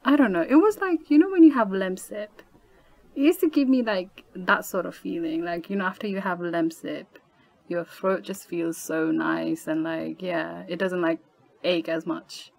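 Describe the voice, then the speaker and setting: A woman's voice: lowish voice, moderate pace, creaky, a little mellow, poor audio. Chatty young woman from Nepal. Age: 20s. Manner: chatty, many fillers, laughs often. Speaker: podcast guest. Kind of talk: casual interview chat.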